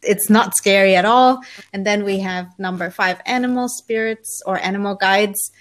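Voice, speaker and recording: A woman in her forties, raised in Germany, telling her story in an interview as a spiritual author, relaxed and thoughtful with frequent pauses. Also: mid-range voice. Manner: relaxed, thoughtful, many ums, light laughs.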